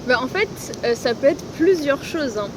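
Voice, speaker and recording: A woman's voice: high-pitched, lively intonation, moderate pace, background noise. A woman of nineteen, from Italy, a design student chatting as a podcast guest outdoors, laughing often and filling her talk with "genre". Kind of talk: casual chat. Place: outdoors in a city on a hot day.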